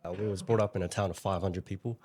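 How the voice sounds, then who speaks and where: Low-voiced New man chatting casually. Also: fairly even intonation, fast, a little mellow, breathy edge. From New Zealand. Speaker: interview guest, content creator. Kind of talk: casual chat.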